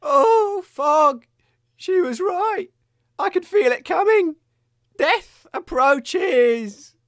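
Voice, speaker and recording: Casting: sickly male radio host acted by a man, halting, theatrical, with big pitch swings and drawn-out pace. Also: expressive, fairly bright tone, no echo, studio quality, exaggerated voice. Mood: dying, melodramatic. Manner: faltering, halting delivery. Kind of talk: comic radio acting.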